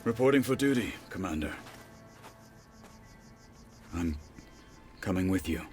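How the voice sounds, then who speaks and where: A loyal young game warrior talks slowly and gravely in a very low voice. Soft music plays beneath his lines. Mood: resolute. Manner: steady, grave, resolute. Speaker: warrior marshal.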